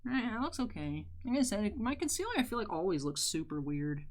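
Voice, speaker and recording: A young woman with a deeper voice, chatting candidly while doing her makeup for a vlog. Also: big pitch swings, fairly quick. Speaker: YouTuber. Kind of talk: casual makeup tutorial chat.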